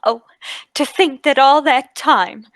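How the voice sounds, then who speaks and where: A woman playing a refined, tender lady of the manor with a measured, dramatic delivery in a radio play table read. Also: high-pitched, fairly bright tone, no room sound. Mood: grieving.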